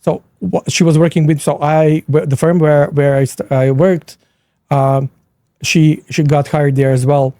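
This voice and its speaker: A middle-aged man with a foreign Slavic accent, answering as an immigration attorney guest in a measured way, repeating words for emphasis. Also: on the high side, steady tone, dark tone, no echo, studio quality. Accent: foreign, heavy Slavic. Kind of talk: conversational interview answers.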